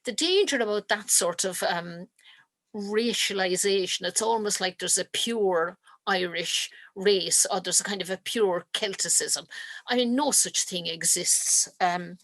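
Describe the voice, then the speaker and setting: A woman gives a literature lecture over a video call, thinking aloud with many ums and restarts. Her voice sits at a middle pitch. The computer mic sound is dry and close. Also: fairly bright tone, no echo. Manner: thoughtful, with frequent ums and restarts. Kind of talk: online lecture with slides.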